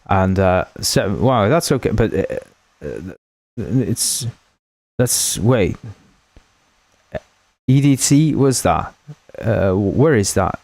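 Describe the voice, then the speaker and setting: A man's voice: on the low side, animated, slow pace, long pauses, a little mellow, no room sound. A man whose first language is Spanish, hosting a game development livestream in a rambling, jokey way and thanking viewers often. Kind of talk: casual livestream chat.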